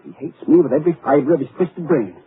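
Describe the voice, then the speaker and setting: An actor playing a grave, measured doctor explaining a dire situation in a 1940s radio drama. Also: male voice, a little high, lively intonation, lightly acted. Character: grave middle-aged male doctor. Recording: tinny old radio broadcast with hiss.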